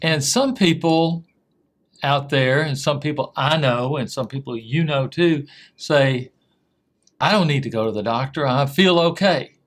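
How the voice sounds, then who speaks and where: A man hosting a TV interview show, asking questions in a halting way and often repeating his words. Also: medium pitch. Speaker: host.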